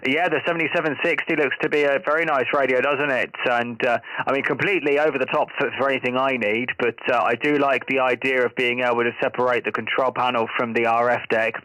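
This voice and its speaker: A man talking radio gear as an amateur operator, casual and technical, explaining things in a measured way. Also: medium pitch, fairly even intonation, bright, forceful tone, no echo, poor audio.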